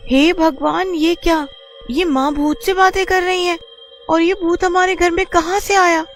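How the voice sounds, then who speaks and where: A woman voicing an excitable young girl in a cartoon who dreams of wrestling, talking in shrill exclamations. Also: measured pace. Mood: excited, pleading. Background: faint music.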